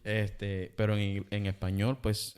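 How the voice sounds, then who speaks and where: A man's voice: on the low side, little pitch movement, slow, darkish tone, clear, resonant tone. A man co-hosting a casual podcast, speaking in a plain, wry way. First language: Spanish. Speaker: podcast co-host. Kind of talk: casual chat.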